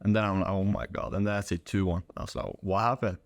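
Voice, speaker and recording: A young man speaks in a low voice with a dry, close sound. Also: steady tone, fast, no echo. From Norway. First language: Norwegian. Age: young adult. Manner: informal, slangy. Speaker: podcast guest, college soccer player. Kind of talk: casual interview chat.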